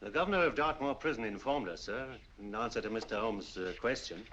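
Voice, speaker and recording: A man's voice: lowish voice, animated, a little fast, fairly bright tone. An actor playing a formal male police officer delivering a report in a 1940s film. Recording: dull old film soundtrack with hiss.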